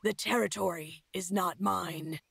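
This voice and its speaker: Husky, creaky feral huntress. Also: female voice, on the low side, fairly even intonation, a bit bright, no room sound, studio quality, exaggerated voice. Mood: solemn, cryptic. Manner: slow, cryptic, solemn speech. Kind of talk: fantasy game character acting.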